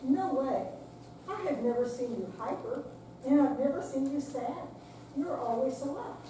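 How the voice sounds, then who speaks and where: An amateur reader as a high-voiced, kindly retired woman. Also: lively intonation, measured pace, dark tone. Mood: surprised. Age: older. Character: cheerful, kindly retired woman, supportive naive friend. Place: senior center, recorded from afar. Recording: far-off echoey room, clear background noise.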